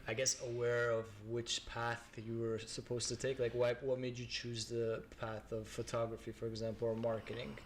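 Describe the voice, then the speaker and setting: A man's voice: mid-range voice, flat delivery, moderate pace, hardly any pauses, a little hiss or hum. A young man hosting a podcast, talking in a friendly, earnest way. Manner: friendly, earnest, lots of affirming murmurs. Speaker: podcast host. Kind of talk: casual interview chat.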